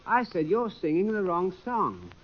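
A man doing scripted 1940s radio comedy banter as an excitable, eager sidekick with theatrical delivery. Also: sits high, unhurried. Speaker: comic sidekick on a variety show. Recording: dull lo-fi old radio recording.

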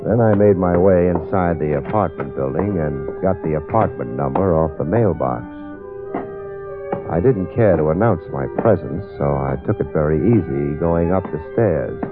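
An actor playing a hard-boiled, world-weary private detective in a vintage radio drama, sardonic and clipped. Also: male voice, sits low, lively intonation, normal speed. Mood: brooding, narrating. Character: wry hard-boiled male private detective, narrating his case. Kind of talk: 1940s-50s radio detective drama acting and narration. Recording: tinny old radio recording, music underneath.

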